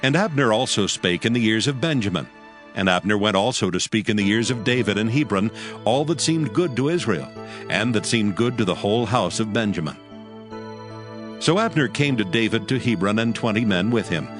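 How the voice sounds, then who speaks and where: A man narrating dramatized scripture from a script in a measured, formal manner. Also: lowish voice, expressive, fairly bright tone, faint music behind.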